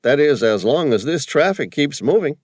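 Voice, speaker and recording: A man's voice: fairly high pitch, sing-song, normal speed, a little mellow, no echo, clean studio sound, voice pushed up. A man voicing a chatty middle-aged male cab driver with a broad East Coast accent. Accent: broad East Coast American. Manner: chatty, folksy.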